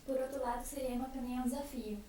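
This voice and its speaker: High-voiced, nervous young Brazilian woman. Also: steady tone, racing. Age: young adult. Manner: shy, nervous. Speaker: student member of a theatre group. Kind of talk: casual personal testimony in a group. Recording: very echoey room, distant mic, faint noise.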